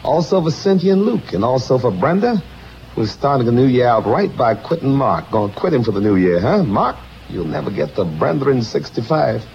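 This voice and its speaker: A hoarse man working as a radio disc jockey, rattling off showy rhyming slang patter on an old radio broadcast. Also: medium pitch, big pitch swings, a little fast, darkish tone, a little hiss or hum. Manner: showy, rhythmic hipster slang. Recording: dull lo-fi vintage radio aircheck.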